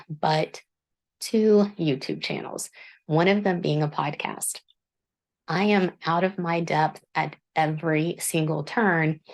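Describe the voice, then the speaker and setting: A woman author hosting her book channel, speaking in a low voice in an earnest, self-deprecating, measured monologue. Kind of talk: reflective scripted monologue.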